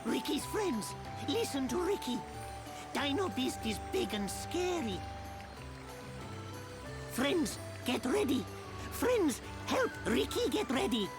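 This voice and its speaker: A woman's voice: sits high, big pitch swings, takes it slowly, long pauses, exaggerated voice. A woman voicing a small, furry, cheerful male creature in a video game, childlike and eager, exclaiming in broken third-person speech. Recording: music behind the voice.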